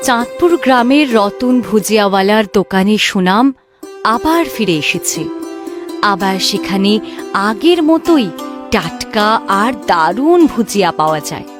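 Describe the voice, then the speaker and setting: A woman's voice: medium pitch, very animated, unhurried, over music. A woman reading scripted narration for a children's fairy-tale story.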